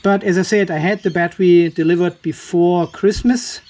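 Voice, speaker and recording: A man's voice: sits high, steady tone, moderate pace, a little mellow. A man hosting a hands-on battery inspection, thinking aloud and explaining what he finds as he goes. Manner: curious, musing, explaining as he goes. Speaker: channel host reviewing a home battery.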